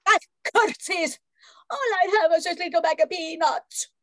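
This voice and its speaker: A woman's voice: extremely high pitch, a little slow, sharp tone, no echo, heavily acted. A woman playing a scheming, theatrical space villainess in an amateur radio play, menacing in manner. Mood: thwarted, scheming. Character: scheming slinky female space villainess with weapons arsenal. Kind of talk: amateur radio play acting, old-time radio parody.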